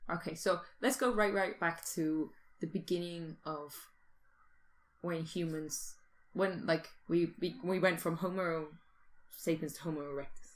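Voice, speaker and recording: A woman's voice: lowish voice, steady tone, measured pace, rough recording. Casual woman thinking aloud. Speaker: podcast host posing the question. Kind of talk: casual comic banter.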